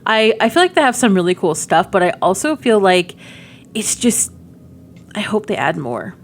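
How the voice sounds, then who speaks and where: A woman's voice: mid-range voice, big pitch swings, moderate pace, slight background noise. A middle-aged woman giving casual, rambling game demo commentary with cutesy slang. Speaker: gaming video creator.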